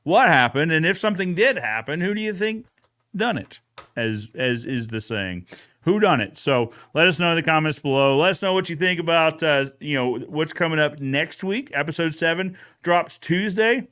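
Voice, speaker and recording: A man's voice: fairly high pitch, lively intonation, moderate pace, fairly bright tone. A man hosting a podcast recap in a casual, folksy, conversational way. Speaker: host of a podcast recap show.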